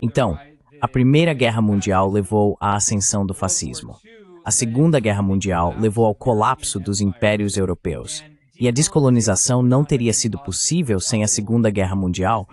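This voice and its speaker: Guy with a lower voice doing dubbed political analysis, kinda emphatic and arguing his points. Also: normal speed, darkish tone. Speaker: guest geopolitical analyst. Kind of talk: political commentary, dubbed voice-over.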